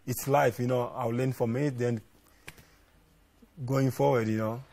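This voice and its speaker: A man's voice: mid-range voice, steady tone, unhurried, gravelly, a little mellow. A young man, a footballer, giving casual interview answers in short repeated phrases with frequent verbal tags.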